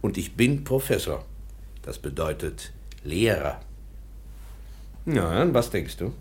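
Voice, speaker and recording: A gentle old-world professor in a radio play, low, melodic delivery. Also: male voice, sing-song, slow, full character voice. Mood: patient. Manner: gentle, patient, explaining. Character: kind patient professor and teacher.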